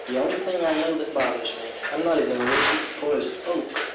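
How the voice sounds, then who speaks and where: A man answering questions in a relaxed back-and-forth, slipping in dry jokes. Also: a little high, fast talker. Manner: dry joking asides. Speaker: interviewee. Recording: echoey, distant, noisy recording.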